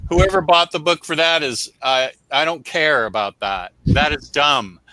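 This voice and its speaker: A man with a very bright, forceful voice pitched a little high, co-hosting a casual review show. He talks bluntly and emphatically, full of opinion, in unscripted chat. The sound is dry and close. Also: measured pace, no room sound, poor audio. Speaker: co-host of a comic book review show.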